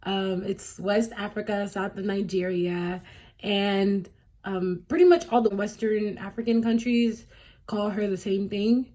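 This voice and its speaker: A woman's voice: medium pitch, a little mellow. A woman from Cameroon, a vlogger casually telling a story in a chatty, rambling way, often correcting herself and laughing.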